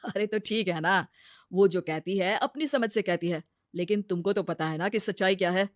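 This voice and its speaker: Woman voicing a calm young husband, dry sound. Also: mid-range voice, normal speed, no echo, close to an everyday voice. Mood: reassuring. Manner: reassuring.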